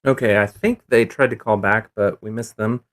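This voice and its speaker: A man's voice: lowish voice, steady tone, moderate pace, a bit bright. A middle-aged man hosting a casual call-in show, rambling with many fillers and restarts.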